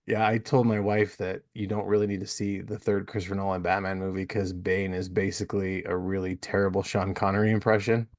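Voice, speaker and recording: A man's voice: low voice, little pitch movement, a little fast, few pauses, no echo, clean studio sound. A man hosting a podcast in a casual, chatty style. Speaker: podcast host. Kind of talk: casual chat.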